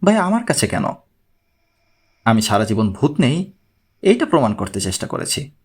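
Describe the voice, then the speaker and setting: A man's voice: mid-range voice, big pitch swings, moderate pace, husky, voice pushed down. A man voicing a wry, unflappable male investigator in an audiobook, dry and plain-spoken. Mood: annoyed.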